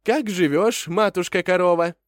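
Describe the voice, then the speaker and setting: A man's voice: high-pitched, normal speed, no echo, pitched above the performer's own voice, close to an everyday voice. A man voicing a small, sly storybook rabbit who talks in a wheedling, mock-polite way. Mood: chirpy, polite. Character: small cunning chirpy male rabbit, sweetly polite trickster. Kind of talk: animated fairy-tale character voice.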